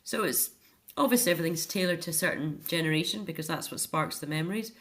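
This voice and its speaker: Lower-pitched Scottish woman, chatty and earnest. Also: fast, fairly bright tone. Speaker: interview guest, author and care home activities volunteer or worker. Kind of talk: informal radio interview chat.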